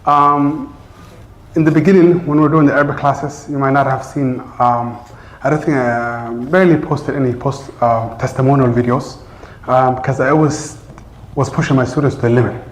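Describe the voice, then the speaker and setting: A man's voice: mid-range voice, fairly even intonation, normal speed. A man who teaches Arabic, speaking English as his first language, gives an earnest, loose talk to his class with many fillers and restarts. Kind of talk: informal address to a class. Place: large room in a community center. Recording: roomy echo.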